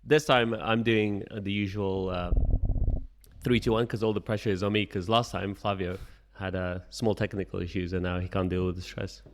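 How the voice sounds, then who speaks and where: A man's voice: low-pitched, steady tone, moderate pace. A man hosting a podcast, counting in the episode and chatting casually with a guest. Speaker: podcast host. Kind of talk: casual chat. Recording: long reverb.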